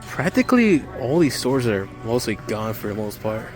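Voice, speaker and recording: A man's voice: mid-range voice, big pitch swings, moderate pace, a little mellow. A man giving casual, unscripted tour commentary as a vlogger, with observational, technical asides. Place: inside retail stores, later outside. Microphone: handheld camera. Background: faint store music.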